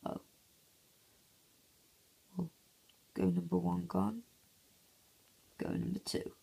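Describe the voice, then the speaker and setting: Hoarse, gravelly half-whispering young man. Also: sits high, steady tone, slow, warm tone. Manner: half-whispering to avoid waking sleepers. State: hoarse, croaky voice. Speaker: gameplay commentator. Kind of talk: casual mobile gameplay commentary. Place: home, early morning. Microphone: phone.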